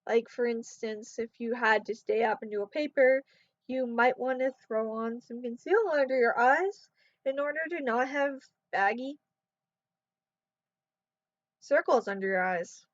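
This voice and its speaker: A woman's voice: fairly high pitch, unhurried, no room sound. Sleep-deprived young woman vlogging. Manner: rambling, trailing off. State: sleep-deprived, voice going hoarse. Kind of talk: casual talk to camera. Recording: dull, muffled sound in a dry room.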